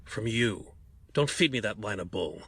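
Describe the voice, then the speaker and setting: Male actor as indignant police commissioner, lowish forceful voice, blunt, exasperated, faint background noise, audio drama. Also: animated, normal speed, husky, heavily acted. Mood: exasperated, stern.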